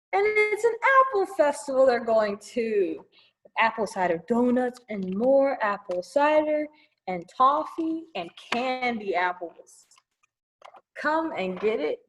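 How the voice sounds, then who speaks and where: A woman leading a children's storytime, reading a picture book aloud with chatty asides in an expressive, singsong manner aimed at young kids. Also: a little high, low-quality recording.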